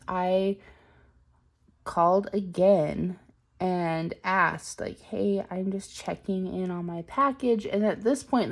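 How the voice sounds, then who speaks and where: A woman's voice: medium pitch, creaky, darkish tone. A woman in her twenties telling a long story in a chatty vlog, her voice a little strained from a cold. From Utah. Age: 20s. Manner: chatty, rambling, frequent ums. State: recovering from a cold, slightly strained voice. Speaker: planner vlogger.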